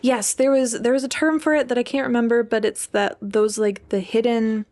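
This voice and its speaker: A woman's voice: a little high, fairly even intonation, moderate pace, a little mellow. A young woman co-hosting a podcast in casual chat, informal and peppering her speech with "like". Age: young adult. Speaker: podcast co-host.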